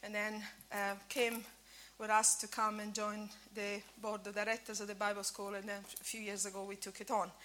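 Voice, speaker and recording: A woman's voice: mid-range voice, level tone, normal speed, hardly any pauses, a bit bright. A woman in her fifties, a native Italian speaker with an Italian accent touched by Scottish, giving an informal sermon at a church breakfast with many fillers and restarts. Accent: Italian with a bit of Scottish. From Italy. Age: 50s.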